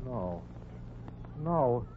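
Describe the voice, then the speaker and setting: An actor playing a curt, grumbling bus driver with grudging kindness in a 1940s radio comedy. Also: male voice, mid-range voice, sing-song, extremely slow. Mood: exasperated. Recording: muffled, noisy 1940s radio recording.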